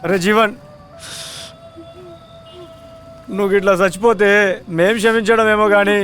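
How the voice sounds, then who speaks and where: A man's voice: sits high, little pitch movement, fast talker, fairly bright tone, soft background music. A man playing a grieving young buddy in a comedy web series, mock-solemn and pleading in Telangana Telugu. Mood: mock-solemn grief.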